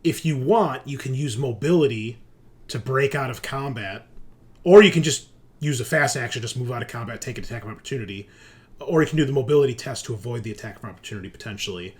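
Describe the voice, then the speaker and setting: A man's voice: mid-range voice, quick pace. A man talking casually as game master, explaining rules and narrating the action of a tabletop game.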